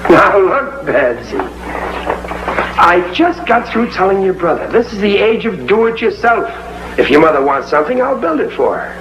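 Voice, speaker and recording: A man's voice: high voice, sing-song, moderate pace, a bit bright. An actor playing a blustering, stubborn middle-aged American father who stammers and fancies himself a handyman in a 1950s television sitcom. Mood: exasperated, insistent. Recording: muffled, noisy old 1950s television soundtrack.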